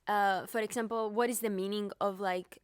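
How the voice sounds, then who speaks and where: A woman's voice: medium pitch, fairly even intonation, normal speed, no room sound. Young woman, Greek Cypriot accent, hesitant, filler-heavy, interview guest, dry recording. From Cyprus. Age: young adult. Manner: hesitant, many fillers and restarts. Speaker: pianist guest. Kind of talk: podcast interview.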